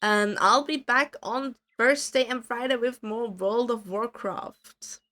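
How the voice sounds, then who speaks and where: A woman streamer chatting casually with her viewers, her voice worn hoarse from talking too much, rambling and self-deprecating. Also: a little high, slow pace, sharp tone.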